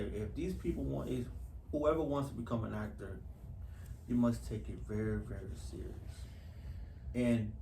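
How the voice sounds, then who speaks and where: A man speaking casually and earnestly in an interview, repeating phrases for emphasis. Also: medium pitch. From Houston, US. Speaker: actor being interviewed. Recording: echoey room with faint background noise.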